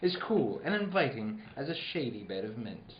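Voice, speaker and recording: A man's voice: mid-range voice, big pitch swings, normal speed, echoey room, highs cut off, slight background noise, close to an everyday voice. A man playing a polished, upbeat old-time radio announcer reading scripted copy with grand, salesman-like emphasis.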